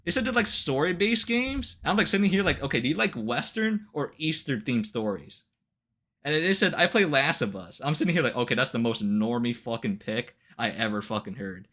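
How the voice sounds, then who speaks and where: A man chatting casually on a livestream, ranting to viewers in an incredulous, animated way with casual swearing. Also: medium pitch, a little fast. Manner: laughs often between remarks. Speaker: live streamer.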